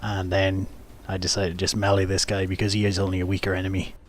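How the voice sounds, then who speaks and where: A man's voice: sits low, monotone, fast, slight background noise. A man giving recap commentary over a video game as host of the video.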